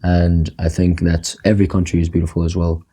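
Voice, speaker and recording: Soft-spoken young man, deep husky voice. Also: little pitch movement, fairly quick. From Egypt, raised in Qatar. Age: young adult. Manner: soft-spoken, hesitant, repeats words. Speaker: guest. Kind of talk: casual interview talk.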